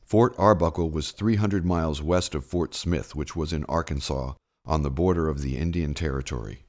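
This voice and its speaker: A man reading a historical first-person memoir as scripted narration, with measured, formal storytelling delivery. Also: rumbling low, a little mellow. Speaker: audiobook narrator. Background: plucked guitar music.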